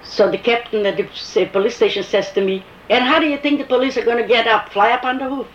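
A woman's voice: on the high side, quick pace. An older woman with a foreign accent, telling her story in an oral history interview and acting out the dialogue. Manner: animated storyteller, quotes dialogue. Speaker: interviewee recalling activism. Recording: muffled, echoey room with faint hiss.

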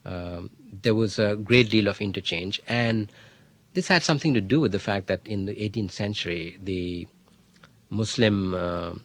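A middle-aged man, a history professor telling an anecdote in an interview, thoughtful, with many fillers and pauses. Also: lowish voice. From India. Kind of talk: interview, reflective storytelling.

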